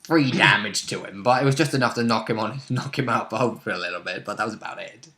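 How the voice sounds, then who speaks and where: A man's voice: lowish voice, fast, pushed and bright, echoey room. A man chatting casually and bluntly as a player recapping a tabletop role-playing game, in an informal, sweary way.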